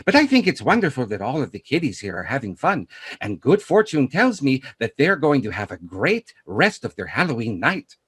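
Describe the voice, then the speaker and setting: A man's voice: mid-range voice, wildly swooping pitch, normal speed, breathy edge. A man voicing a cheery, flowery fortune-telling oracle, effusive and theatrical, repeating words.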